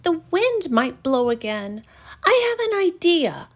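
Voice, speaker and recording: Kind little storybook girl voiced by a woman, very high and lilting, eager, caring, childlike. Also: big pitch swings, unhurried, heavily acted. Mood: thoughtful.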